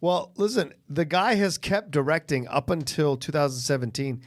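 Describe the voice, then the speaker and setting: A man co-hosting a casual chat about films, agreeable and quick to chime in. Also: fairly high pitch. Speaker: podcast co-host.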